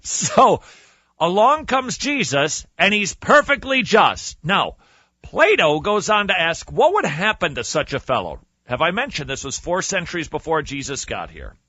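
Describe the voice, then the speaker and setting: A man with a forceful, somewhat high voice delivers theatrical storytelling as a radio host, leaning hard on key words and pausing for drama. His pitch swings widely, and the close, dry recording sounds slightly dull. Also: big pitch swings, dry recording. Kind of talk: animated storytelling with reading and commentary.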